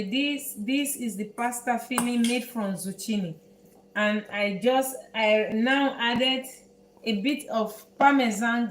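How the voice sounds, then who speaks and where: Slow-talking Dutch woman in echoey kitchen. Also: on the high side, a little hiss or hum. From Netherlands. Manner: unhurried, halting, repeats words while working. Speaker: home cook host. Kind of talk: live cooking tutorial. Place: home kitchen.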